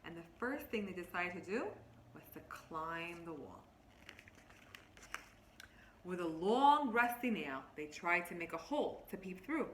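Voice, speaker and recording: Bright-voiced woman reading aloud in echoey room. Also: fairly low pitch, unhurried, pauses often. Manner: expressive, instructive, addressing children directly. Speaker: teacher reading a picture book to children. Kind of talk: read-aloud storytelling with explanations and questions to young listeners. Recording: roomy, echoing, faint background noise.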